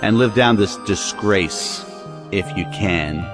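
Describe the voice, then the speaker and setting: An actor playing a domineering, hot-tempered moralizing brother in an audio play, commanding and blustering. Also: male voice, fairly low pitch, slow, over music. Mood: stern, scolding. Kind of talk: audio play acting of an old stage drama.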